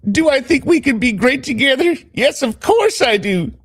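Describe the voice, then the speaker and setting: A man acts out a lovelorn Southern sweetheart, pitched very high. The delivery is pleading, drawn-out and melodramatic, recorded dry and close. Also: expressive, slightly rough, no echo, full character voice. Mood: tender, adoring.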